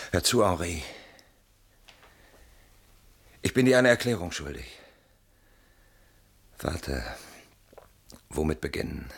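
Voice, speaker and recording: An actor in a German radio play voicing an evasive, self-justifying man with a guilty conscience, halting and brooding, protesting in sudden outbursts. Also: low voice, vocal fry, fairly bright tone, husky, exaggerated voice. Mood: anxious, pleading.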